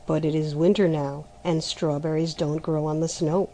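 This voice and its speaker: A grave old winter spirit, slightly lower and firm, questioning in a measured storybook voice, as a woman narrator puts him on. He sounds stern but calm. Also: natural voice, low-quality recording.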